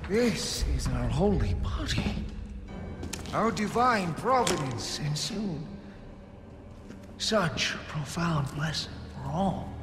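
Old menacing game villain, grandiose preacher, very slow delivery, music behind. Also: male voice, fairly high pitch, lively intonation. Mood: solemn, grandiose. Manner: solemn, pompous preaching. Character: old, grandiose, menacing male cult leader. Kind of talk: dramatic video game acting.

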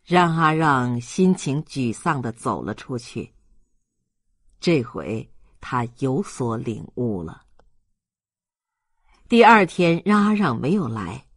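Expressive, unhurried male audiobook narrator. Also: mid-range voice, no room sound, clean studio sound. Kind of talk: expressive scripted literary narration.

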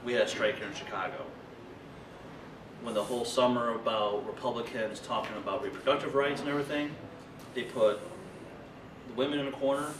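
A man's voice: mid-range voice, a little fast, pauses often. Workshop speaker, man in his thirties, gesturing, casual explainer; noisy distant room sound. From rural Illinois. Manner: animated, gesturing, loose conversational explaining. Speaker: invited speaker. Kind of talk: conference workshop talk. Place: meeting room at a table. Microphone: far camera. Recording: echoey room from far off, noisy.

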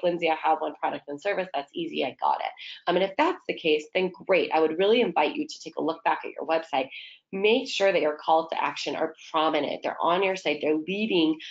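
A woman's voice: low-pitched, creaky. A woman leading a marketing training webinar over slides, talking casually with rhetorical questions and a lot of "right?" and "um". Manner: conversational, asks rhetorical questions, frequent "right?" and "um". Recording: slightly dull lo-fi audio.

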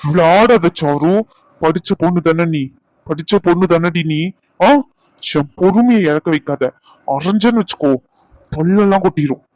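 A man's voice: a little high, normal speed, long pauses, a little mellow, dry recording, close to an everyday voice. A middle-aged man acting as an uncle who scolds and coaxes his stubborn niece. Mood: scolding. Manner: scolding, then coaxing. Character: stern but caring middle-aged uncle. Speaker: uncle of the young woman. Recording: dull lo-fi recording.

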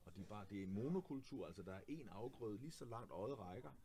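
A man's voice: fairly low pitch, lively intonation, mellow. A man in his forties talking politics in a casual conversation, earnest and explanatory, often restarting his sentences and adding tag questions. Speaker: candidate and host. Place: clothing shop, indoors.

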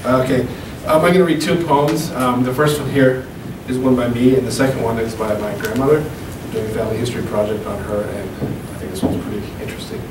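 A man in his thirties reading poems at an open mic into a handheld mic, slow and deliberate, with casual asides between poems. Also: mid-range voice, fairly even intonation. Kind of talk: poetry reading. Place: small room at a podium. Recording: echoey room, camera from far off, hum.

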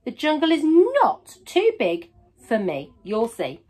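A woman's voice: on the high side, huge pitch swings, slow, darkish tone, exaggerated voice. A woman voicing a small, plucky young female monkey from a storybook, proud and determined, in an emphatic sing-song way.

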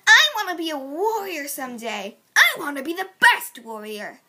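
A woman's voice: high voice, big pitch swings, moderate pace, sharp tone, pitched above the performer's own voice, heavily acted. A woman voicing a boastful, ambitious young male kitten with a bratty manner. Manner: bragging, bratty.

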